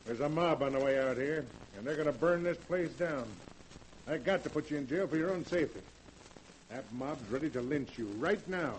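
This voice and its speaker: An actor playing a blunt, suspicious country sheriff with a brusque, folksy way of talking, in 1940s radio-drama style. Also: male voice, fairly high pitch, normal speed, a little hiss or hum, close to an everyday voice, rough recording. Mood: gruff. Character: gruff rural male sheriff, blunt and suspicious.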